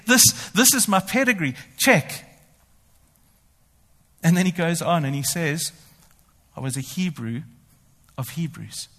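A man's voice: sits high, a bit bright. A man preaching a sermon. He talks animatedly, often speaking in the first person as the apostle and boasting ironically. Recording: long hall reverb.

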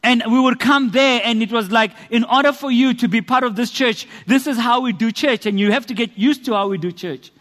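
A man's voice: extremely high pitch, a little fast, hardly any pauses, a bit bright. A South African man preaching a sermon and sharing his testimony, earnest and rhetorical, building emphasis through repetition. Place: church hall. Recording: long reverb of a church hall.

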